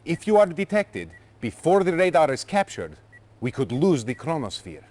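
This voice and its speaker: An actor playing an officious Soviet military aide, formal and insistent, in a video game cutscene. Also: male voice, fairly high pitch, big pitch swings, moderate pace, darkish tone. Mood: urgent warning.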